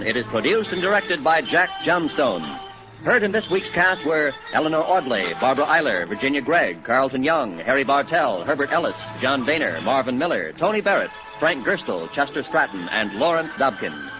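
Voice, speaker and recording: A man's voice: medium pitch, very animated, normal speed, dull audio. A man with an American accent reading scripted credits as a 1950s radio announcer. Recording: old 1950s radio broadcast recording. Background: music.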